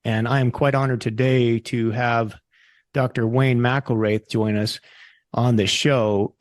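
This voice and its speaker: Man, podcast host, mid-pitched voice, dry close sound, folksy rambling intro. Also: a little mellow, no echo. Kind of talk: interview introduction.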